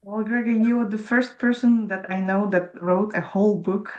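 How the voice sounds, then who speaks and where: A woman's voice: mid-range voice, fairly even intonation, moderate pace, darkish tone, dull audio. A woman interviewing an author, asking short, friendly questions.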